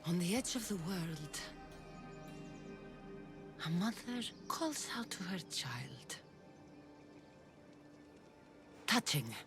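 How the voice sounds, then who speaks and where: A woman's voice: lowish voice, big pitch swings, slow, creaky, over music, exaggerated voice. A woman voicing a bitter young warrior, an estranged daughter, sardonic and cutting in a video game drama. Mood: cold mockery.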